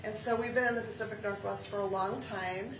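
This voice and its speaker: Loud, friendly planner woman in an echoey room. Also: medium pitch, steady tone, fairly quick. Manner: loud, friendly, self-deprecating. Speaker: project manager and planner. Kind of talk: public meeting presentation. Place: meeting room. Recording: echoey room, distant mic, background noise.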